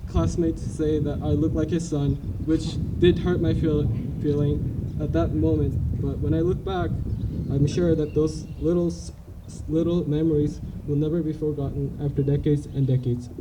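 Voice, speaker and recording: Earnest young Korean cadet, distant. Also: male voice, fairly high pitch, fairly even intonation. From South Korea. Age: young adult. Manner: earnest, halting with frequent restarts. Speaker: salutatorian cadet. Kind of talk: graduation speech. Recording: distant mic, light noise.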